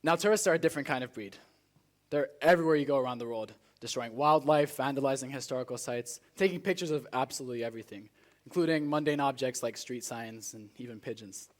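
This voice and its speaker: Teenage male student speaker, medium pitch, earnest and rehearsed public-speaking delivery with deliberate phrasing. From Spain, born in South Africa. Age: teenager, high school student. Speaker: student speech contestant. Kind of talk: rehearsed motivational speech to a live audience.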